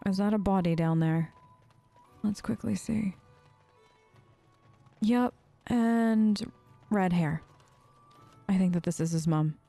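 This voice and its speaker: A woman's voice: mid-range voice, warm tone. A woman hosting a let's play, giving casual, chatty role-play commentary and musing in character.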